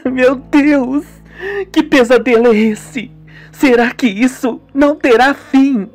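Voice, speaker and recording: A woman's voice: high-pitched, slow, darkish tone, soft background music, voice pushed up. A woman voicing a terrified, tearful young woman in a radio soap opera, pleading and exclaiming melodramatically. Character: terrified, tearful young sister haunted by death.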